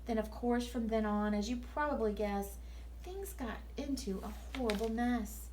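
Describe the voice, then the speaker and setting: A woman's voice: fairly high pitch, moderate pace, a little mellow, rough recording. A woman teacher speaking to children at story time in an earnest, instructive way.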